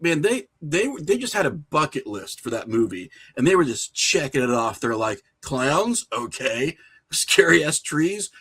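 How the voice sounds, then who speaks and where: A middle-aged man chatting casually as a podcast guest, telling animated stories and acting out quoted remarks. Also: fairly high pitch, husky. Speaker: podcast guest, filmmaker and horror creator.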